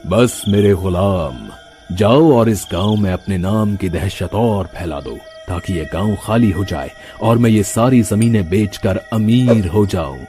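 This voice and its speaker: A scheming village headman in a cartoon story gloats slowly over his plan to grab land, as a man acts him out. His voice is low and mellow, and he drags out his words with quiet menace. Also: faint music behind, close to an everyday voice. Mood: gloating. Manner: slow gloating menace, laughing.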